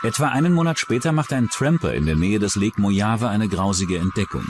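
A man's voice: on the low side, lively intonation, fairly quick, loud music behind the voice. A man reading scripted true-crime documentary narration as the narrator.

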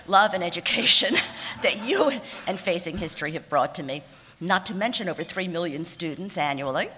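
A woman in her sixties reads her acceptance speech at a podium microphone, her voice low yet bright and forward. She is warm and self-deprecating, with light laughs and small restarts. The sound rings with the long reverb of a banquet hall. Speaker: award honoree giving an acceptance speech. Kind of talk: prepared speech read from notes. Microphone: podium microphone on a stand.

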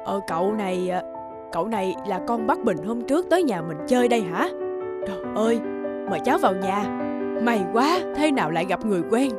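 A woman's voice: mid-range voice, lively intonation, fairly quick, natural voice. A woman voicing a fretful middle-aged mother in a cartoon, exclamatory and probing, with a Northern Vietnamese accent. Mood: impressed, eager. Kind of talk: animated cartoon dialogue. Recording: music behind the dialogue.

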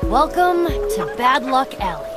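Scrappy, high-voiced young warrior woman. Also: big pitch swings, slow pace, over loud music, heavily acted. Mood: teasing, casual. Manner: casual, candid. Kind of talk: animated video game voice acting.

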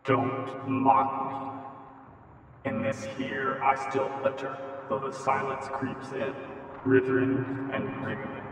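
Echoing, very slow man reciting poetry. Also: medium pitch, steady tone, a bit bright, faint music behind. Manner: halting, brooding, deliberate delivery. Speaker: poet performing a radio play. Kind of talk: dramatic spoken-word poetry performance. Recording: very echoey, long reverb, distant voice.

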